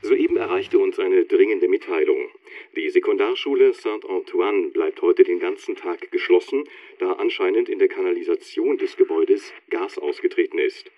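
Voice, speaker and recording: An actor playing a formal, matter-of-fact local radio newsreader reading a bulletin through a radio filter. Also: male voice, sits low, moderate pace. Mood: urgent, formal. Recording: muffled radio broadcast sound.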